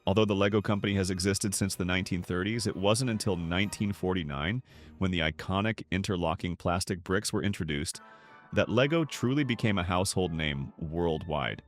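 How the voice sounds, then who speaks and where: A man reading scripted documentary narration in a measured, polished storytelling manner. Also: low-pitched, faint music behind.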